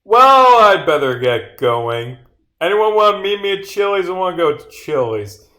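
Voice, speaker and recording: A cheerful, oblivious guard from a visual novel, put on by a man. The voice is bouncy and breezy, jumping up in pitch with loud bursts.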